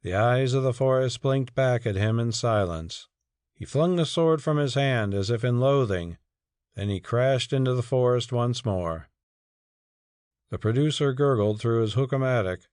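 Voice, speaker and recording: A man's voice: medium pitch, unhurried, darkish tone, clear, resonant tone, no room sound, clean studio sound. A man narrating a story as a scripted, dramatic audiobook reading.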